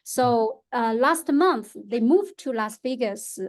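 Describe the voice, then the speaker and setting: Chinese-accented woman, halting english. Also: fairly high pitch, expressive. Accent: Mandarin Chinese. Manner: halting English with many fillers and restarts. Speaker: real estate agent. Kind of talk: interview guest, unscripted.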